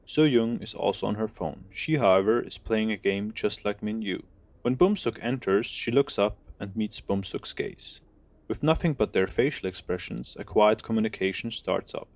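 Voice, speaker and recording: A man's voice: fairly low pitch, gravelly, a little mellow, no room sound. A man narrating an audio drama from a script, telling a story in the present tense.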